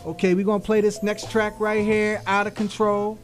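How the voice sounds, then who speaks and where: A high-pitched American man hosts casual hip-hop radio from a close studio mic. His talk is slangy and laid-back but keen to hype, with faint music behind him. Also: completely flat delivery, normal speed. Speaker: guest radio host. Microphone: close studio broadcast mic.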